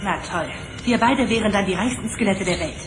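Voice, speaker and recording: A woman's voice: medium pitch, a little fast, close to an everyday voice. Radio play: a woman as a wary, dry-humored young boy, with music and room echo. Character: cautious young boy, Gabe. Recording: echoey room with loud music.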